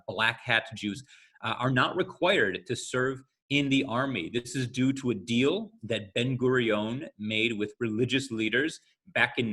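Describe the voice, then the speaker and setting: A man in his forties from the United States giving a candid talk to an audience, speaking conversationally with frequent pauses. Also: mid-range voice, a bit bright, slightly rough. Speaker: guest speaker.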